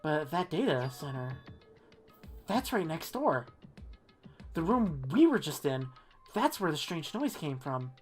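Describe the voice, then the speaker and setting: An earnest, uneasy schoolboy tells a mystery game's story, voiced by a woman. The pitch sits lowish for a woman and moves about expressively. Delivery is a little hesitant, with music playing underneath. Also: very animated, a little slow, pauses often. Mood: puzzled, narrating. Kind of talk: amateur visual novel dub with narration.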